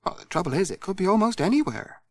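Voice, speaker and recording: Man voicing a fretting farm mother, animated pitch. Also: quick pace, no room sound, full character voice. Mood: worried. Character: concerned farm mother, homely woman. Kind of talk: children's audiobook character voice.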